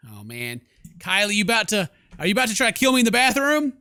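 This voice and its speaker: A man's voice: high voice, big pitch swings, quick pace, pushed and bright, smooth, no room sound, clean studio sound. A man doing playful let's play commentary, reading game text aloud with exaggerated reactions. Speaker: gaming video host.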